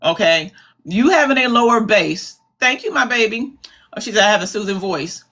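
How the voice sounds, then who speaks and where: A woman's voice: mid-range voice, lively intonation, moderate pace, a bit bright. A woman hosting a live commentary stream in a chatty, repetitive way, calling her viewers "babies". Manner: chatty, calls viewers "babies", repeats herself for emphasis. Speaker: commentary livestream host.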